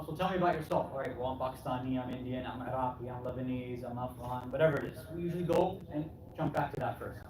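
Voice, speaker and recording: A man preaches to a congregation in a fairly distant, noisy recording with strong room echo. He talks colloquially, posing rhetorical questions and acting out the answers people might give. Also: fairly high pitch. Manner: colloquial, checking in with "right?" and voicing imagined replies. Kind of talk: conversational English sermon with rhetorical questions. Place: Islamic center hall. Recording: echoey hall, distant mic, clearly noisy.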